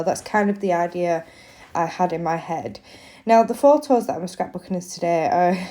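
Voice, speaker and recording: Woman, 30s, blocked-up nose from a cold; easygoing rambling narration, darker mellow tone. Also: lowish voice. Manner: chatty, many ums. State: congested with a cold. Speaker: craft vlogger. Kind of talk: casual voiceover narration. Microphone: voiceover mic.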